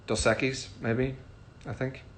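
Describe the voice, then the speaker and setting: A middle-aged man with a Northern Irish accent, casually answering fan questions in a relaxed, chatty way with frequent ums. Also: medium pitch, measured pace, slight background noise. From Northern Ireland. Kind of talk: casual livestream Q&A.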